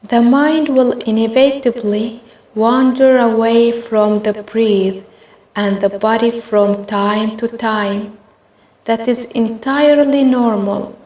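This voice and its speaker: A woman narrating a guided meditation in a gentle, measured, instructional way. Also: fairly high pitch. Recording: roomy, echoing voice recording.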